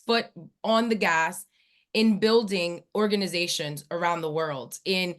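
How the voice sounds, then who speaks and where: A woman's voice: fairly low pitch, measured pace. A woman hosting an online training call, talking with enthusiasm and building hype in an emphatic way.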